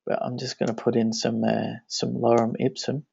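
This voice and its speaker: A man, a native Danish speaker, walks through a website plugin in a relaxed screencast tutorial, thinking aloud as he clicks. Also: mid-range voice, moderate pace, gravelly, breathy edge, no room sound. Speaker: web tutorial instructor. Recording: dry, dull lo-fi screen recording.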